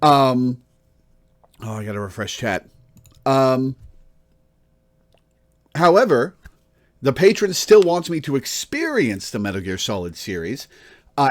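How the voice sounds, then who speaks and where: Casual man streaming, very animated pitch. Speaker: livestream host. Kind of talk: casual rambling stream chat.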